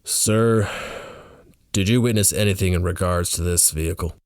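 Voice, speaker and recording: A man's voice: sits low, normal speed, dry recording, studio quality, natural voice. A man voicing a suspicious, official-sounding male police officer questioning people in an audiobook. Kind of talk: first-person audiobook dialogue.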